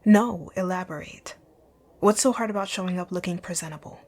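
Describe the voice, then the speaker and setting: A woman playing a low, husky, domineering lady boss. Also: brisk, a little mellow, lightly acted. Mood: stern, probing. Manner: curt, commanding, breathy, often near a whisper. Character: rich, rude, dominant female boss, stern but secretly caring. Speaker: audio roleplay voice actor. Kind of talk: ASMR scripted roleplay.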